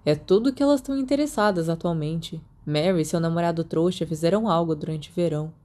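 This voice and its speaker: A reserved teenage schoolboy, read by a woman in an audiobook story. He sounds dry, bookish and a little awkward, with a hesitant, low-key delivery and a mellow tone. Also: fast, lightly acted.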